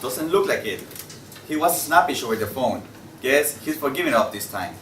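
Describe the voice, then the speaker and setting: A man's voice: mid-range voice, lively intonation, moderate pace, fairly bright tone, close to an everyday voice. A man reading the part of an argumentative, wry magazine writer in a stage play table read. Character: argumentative male magazine writer defending poetry. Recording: distant echoey room, faint noise.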